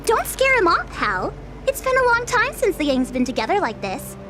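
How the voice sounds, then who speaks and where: Woman acting a very high-pitched, playful young goddess. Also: huge pitch swings, moderate pace, over music, heavily acted. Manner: coy, teasing, slangy. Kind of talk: audio drama acting.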